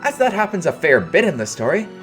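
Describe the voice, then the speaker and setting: A man's voice: high voice, sing-song, fast talker, a bit bright, soft background music. A man delivering a scripted video review in casual, opinionated phrasing with dry understatement.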